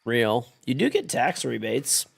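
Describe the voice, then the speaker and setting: A man's voice: mid-range voice, big pitch swings, a little slow, vocal fry, husky. A man in his thirties streaming from home, chatting loosely with his viewers while congested and losing his voice, swearing often and rambling between topics. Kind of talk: casual chat with stream chat.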